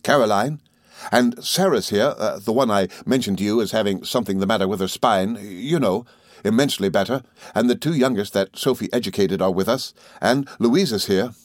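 A man's voice: on the low side, normal speed, dry recording, clean studio sound, lightly acted. A man voicing an earnest, cheerful, modest young lawyer in an audiobook, hesitant and effusively polite as he confides. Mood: eager, confiding. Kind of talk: audiobook character dialogue.

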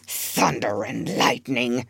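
A beaten pirate chief mutters slow, grudging lines in a storybook reading, as a woman puts him on. His voice sounds unlike the reader's own, drawn out and sullen. It is a dry, close recording. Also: a bass voice, gravelly, fairly bright tone, husky, no room sound, voice pushed down, exaggerated voice. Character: gruff, sulky male pirate chief.

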